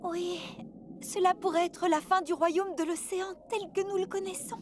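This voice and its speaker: A woman's voice: very high voice, fairly even intonation, moderate pace, slight background noise. A woman voicing a kind, understanding young girl in a children's cartoon, sincere and soothing. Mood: grave. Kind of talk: dubbed children's cartoon acting.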